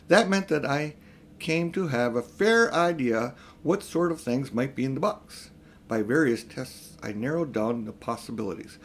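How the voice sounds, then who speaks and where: A man's voice: medium pitch, slight background noise, lightly acted. A man doing a pompous, swooping elderly magician. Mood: self-important. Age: older. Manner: grandiose, self-important, indignant when challenged. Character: pompous, vain, selfish elderly magician uncle. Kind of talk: storybook character reading.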